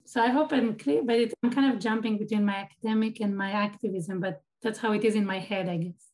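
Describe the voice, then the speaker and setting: A woman's voice: mid-range voice, fairly even intonation, fast talker, darkish tone. Thoughtful Israeli woman, Hebrew native. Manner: thoughtful, restarts sentences, searching for words. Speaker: guest, academic and activist. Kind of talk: conversational interview answer.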